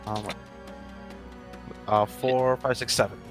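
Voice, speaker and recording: A man's voice: mid-range voice, flat delivery, slow, soft background music. A man talking casually as a player in a tabletop roleplaying game.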